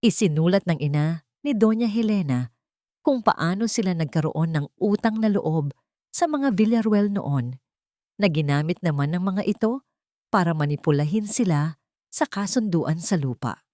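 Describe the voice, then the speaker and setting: A woman's voice: lowish voice, animated, moderate pace, dark tone, no echo, clean studio sound. A woman with a Filipino accent reading a dramatic scripted romance story as the storyteller.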